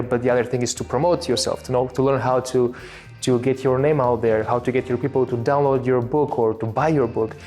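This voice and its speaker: Bulgarian-accented man, halting and chatty. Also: medium pitch, darkish tone. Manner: halting, many fillers and restarts. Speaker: interview guest, filmmaker. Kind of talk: casual interview talk.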